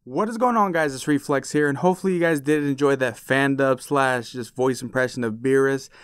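A young man hosts an online video in a casual, chatty style. His voice is crisp and sits at a medium pitch. The recording is dry and close. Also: a little fast, no echo. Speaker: YouTuber and amateur voice impressionist. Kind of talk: casual outro chat to viewers.

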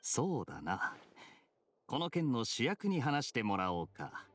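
An actor playing a suave, teasing young knight in an anime game, laid-back and wry. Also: male voice, mid-range voice, wildly swooping pitch, measured pace, soft background music. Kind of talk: Japanese anime game acting.

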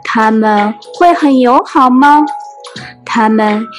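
Woman, higher-pitched, unhurried pace, expressive storybook read-aloud, music behind. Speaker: storybook reader and translator. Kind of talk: expressive read-aloud of a children's picture book.

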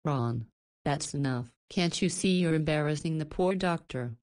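A woman's voice: deep voice, moderate pace, darkish tone, natural voice. A woman playing a protective, motherly guardian in a visual novel, with a firm, caring, chiding manner. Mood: scolding, apologetic.

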